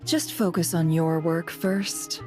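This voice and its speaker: A woman's voice: lowish voice, takes it slowly, over music. A woman playing a cool, imperious guild boss in a video game, controlled and dismissive. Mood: cool, curt. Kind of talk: video game dialogue acting.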